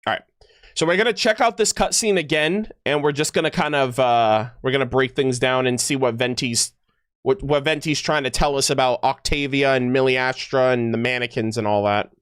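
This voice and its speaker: A man giving casual livestream commentary, rambling and halting as he thinks aloud. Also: medium pitch, fairly bright tone, clear, resonant tone, no room sound, clean studio sound. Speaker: streamer. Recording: music playing behind voice. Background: music.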